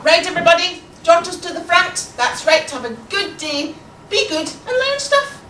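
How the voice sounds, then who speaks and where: Woman performing brisk Scottish schoolteacher, bossy, emphatic, animated pitch, live story, distant mic. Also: exaggerated voice. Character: brisk, exasperated female schoolteacher addressing her class. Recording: echoey room, distant mic, faint noise.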